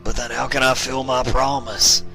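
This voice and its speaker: A man voicing a grizzled old outlaw stallion, a regretful, tender grandfather in a Western storybook, breathy and grave, over music. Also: mid-range voice, little pitch movement, moderate pace, bright, forceful tone, voice pushed up. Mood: strained calling.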